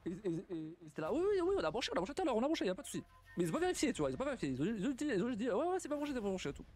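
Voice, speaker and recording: A young man streaming casually and reacting to stories, talking in a halting way, repeating words and laughing often. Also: high voice, huge pitch swings, darkish tone, faint music behind.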